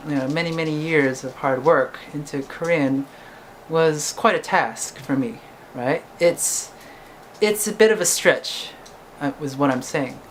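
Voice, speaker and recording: A man's voice: on the high side, a bit bright. Young male vlogger, conversational, keeps checking in with "right?", faint background noise. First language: English. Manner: chatty, ends points with "right?". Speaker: singer-songwriter vlogger. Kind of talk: casual talk to camera.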